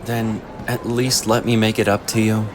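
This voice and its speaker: A man playing a strong, kindly, easygoing elite guardian in a fantasy audio drama, gently insistent and reassuring. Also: fairly low pitch, level tone, moderate pace, natural voice. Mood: warm, easygoing. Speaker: voice actor. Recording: noisy outdoor city ambience behind.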